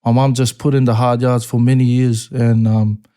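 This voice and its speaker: A man's voice: medium pitch, moderate pace, clean tone. Laid-back man, swearing casually. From American Samoa. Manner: laid-back, swears a lot, bursts into laughing excitement. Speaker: guest rapper. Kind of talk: casual interview chat.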